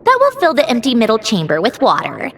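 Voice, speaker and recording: A woman playing a self-assured young female mechanic in a video game, brisk and wry with a hidden tenderness. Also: a little high, huge pitch swings, a bit bright, slight background noise, exaggerated voice. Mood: brisk, commanding. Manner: brisk, instructing, wry, reflective. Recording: music behind the voice.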